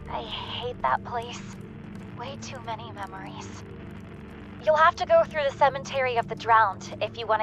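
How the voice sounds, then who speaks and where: A woman playing a feisty, loyal comrade in a video game, talking in an informal, sassy, expressive way. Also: on the high side, lo-fi sound. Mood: concerned, loyal. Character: feisty, loyal, teasing female comrade of the hero. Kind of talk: casual video game companion dialogue.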